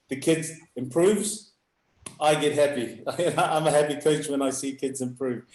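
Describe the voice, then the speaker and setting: A middle-aged man with a loud, booming voice, a youth rugby coach talking in halting bursts with frequent laughs. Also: fairly even intonation. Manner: halting, restarts, laughs often. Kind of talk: live video call chat. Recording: echoey room.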